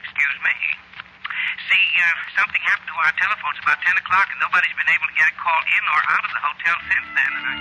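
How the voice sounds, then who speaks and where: An actor playing an apologetic, talkative hotel manager on the telephone in an old-time radio drama. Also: male voice, on the high side, fast talker, natural voice. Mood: apologetic, explaining. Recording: hissy vintage radio, telephone-line sound.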